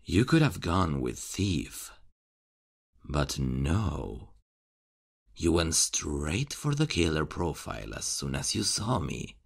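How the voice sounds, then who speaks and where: A man playing a menacing, mocking male serial killer in an ASMR horror roleplay, taunting and chuckling in a hushed voice. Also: very deep voice, very animated, slow pace, vocal fry, slightly rough, heavily acted.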